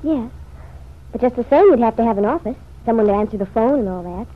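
High-voiced, playful young American wife. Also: female voice, expressive, quick pace. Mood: eager. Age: young adult. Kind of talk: old-time radio serial acting. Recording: muffled, noisy vintage radio recording.

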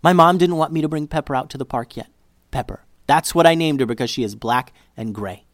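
A man voicing an excitable, chatty young girl in a children's storybook, gushing breathlessly. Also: fairly high pitch, brisk, dry recording, studio quality, close to an everyday voice. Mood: thrilled, chatty.

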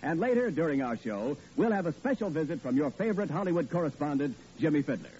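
Booming old-time radio announcer man. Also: sing-song, fast talker, mellow. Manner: formal, booming announcer delivery. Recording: dull old radio broadcast with faint music.

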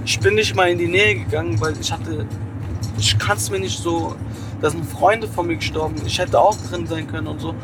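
A young man from an Afghan family speaking bluntly and emotionally in a casual conversation while riding in a car. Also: fairly high pitch. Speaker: interviewee. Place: in a car at night.

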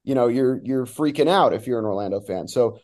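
Mellow-voiced man chatting about sports. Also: mid-range voice, expressive, a little fast. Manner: conversational, opinionated, informal. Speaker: guest, soccer play-by-play announcer. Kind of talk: casual sports analysis chat.

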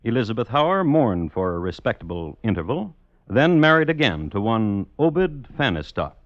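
An actor playing a dry, deadpan crime historian who hosts and narrates an old radio series with precise, formal wording. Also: male voice, mid-range voice, moderate pace, dry recording, highs cut off, lightly acted. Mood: dry, wry. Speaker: host and narrator. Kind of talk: 1950s radio scripted narration.

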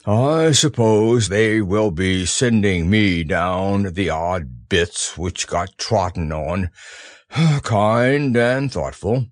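A man reading a storybook aloud, voicing a gloomy, self-pitying old male donkey in a slow, mournful drawl. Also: low-pitched, no room sound, clean studio sound.

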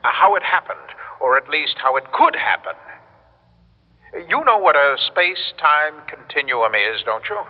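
Through a dull, reverberant old radio recording comes a desperate man from the future. His pitch rides on the high side and swoops about as he stammers and pleads. It is urgent vintage radio drama. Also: big pitch swings, normal speed. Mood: earnest explaining. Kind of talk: classic radio drama acting.

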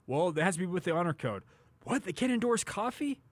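A man's voice: high-pitched, sing-song, fairly quick, no room sound. A man co-hosting a sports talk show, giving opinions in a casual, colloquial way. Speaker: sports radio show co-host.

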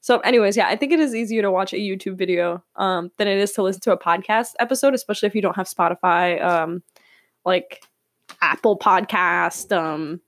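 A young woman from California talks through her podcast in a loose, rambling way, full of fillers and quick laughs. Her voice sits at an ordinary middle pitch. The recording is dry and close, with no room echo. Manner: chatty, rambling, laughs often, many fillers. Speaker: podcast host. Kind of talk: casual solo podcast chat. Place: room at home with windows open.

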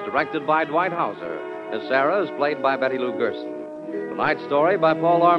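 An American man reading scripted credits as a formal 1940s network radio announcer. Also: on the high side. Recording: muffled old radio broadcast, music behind.

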